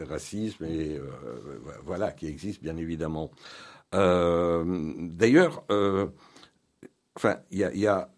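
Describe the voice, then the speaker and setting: A man with a low-pitched voice hosts an interview. He talks informally and haltingly, reworking his phrasing as he goes. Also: darkish tone, slightly rough. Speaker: interview host.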